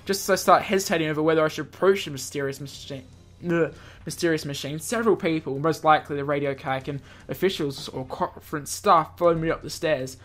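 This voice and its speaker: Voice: medium pitch, fast talker, a bit bright. A video game host reading a visual novel aloud, stumbling over words and dropping in jokey offhand comments. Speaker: let's play video host.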